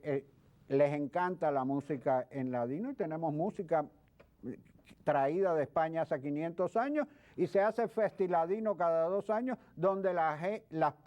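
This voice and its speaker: A man speaking as a guest expert in an interview, explaining things in a halting way with repeated words. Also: a little high, a little mellow. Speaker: guest expert, history professor. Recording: dull lo-fi sound.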